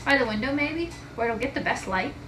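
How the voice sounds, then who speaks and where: Eager schoolboy, storybook character, acted by a woman, raised voice, echoing room, clear background noise. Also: fairly high pitch, lively intonation, a little fast, lightly acted. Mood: brisk, businesslike. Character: excitable young schoolboy, eager friend of the heroine.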